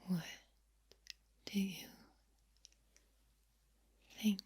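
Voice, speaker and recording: A woman speaking softly in a breathy ASMR whisper, close to the mic from ear to ear, lingering on and repeating words. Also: medium pitch, fairly even intonation, very slow. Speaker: ASMR video creator. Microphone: close microphone, speaking ear to ear.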